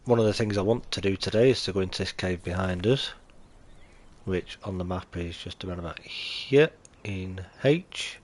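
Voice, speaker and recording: British male streamer, low-ish voice, congested, nasal, chatty and friendly with chat. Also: moderate pace, darkish tone. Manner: chatty, greets viewers and thanks them. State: nasal and chesty from allergies. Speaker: game streamer. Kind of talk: live stream gameplay commentary.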